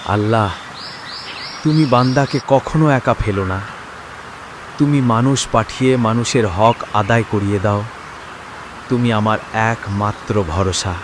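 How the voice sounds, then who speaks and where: A man's voice: lowish voice, measured pace, pauses often, close to an everyday voice. A man playing a poor, devout village father in a cartoon moral story, pleading and despairing. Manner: plaintive, pleading, prayerful. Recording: noisy track with birdsong and nature ambience.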